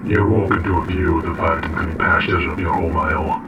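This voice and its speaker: A man's voice: very deep voice, steady tone, fairly quick, dull audio, very noisy. A man voicing an ominous, solemn dream narrator who tells a nightmare in portentous, ornate language for an audio drama. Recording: distant voice under loud music.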